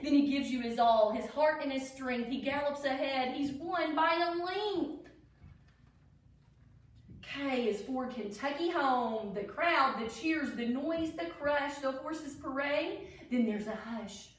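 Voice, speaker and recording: Female children's story reader: high pitch, expressive delivery, heavy room echo. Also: takes it slowly. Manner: animated, expressive, addressing children. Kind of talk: read-aloud for children with explanatory asides. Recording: echoey room, distant mic.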